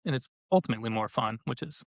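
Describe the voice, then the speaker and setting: A man with a mid-pitched voice talks conversationally as an interview guest. He explains by example and slips into quoted remarks, in a very dry recording. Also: expressive, quick pace, creaky, no echo. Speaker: podcast guest, educational activity creator.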